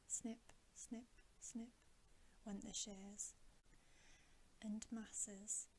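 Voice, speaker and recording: A woman whispering a bedtime story in a hushed, gentle, deliberate ASMR reading. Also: medium pitch, a little hiss or hum, poor audio. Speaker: ASMR reader.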